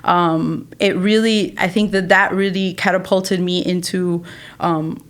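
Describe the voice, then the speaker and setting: A woman's voice: lowish voice, fairly even intonation, measured pace. An American woman from Chicago telling her story casually as a podcast guest, informal and repeating phrases for emphasis. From Chicago, United States. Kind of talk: casual conversational interview storytelling.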